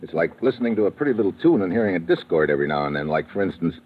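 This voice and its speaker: An actor playing a tough, wisecracking American investigator in an old radio adventure, speaking in a wry, clipped, hardboiled way. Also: male voice, deep voice, very fast, slightly rough. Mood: groggy, determined. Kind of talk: 1950s radio adventure acting and first-person narration. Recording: muffled vintage radio recording with music.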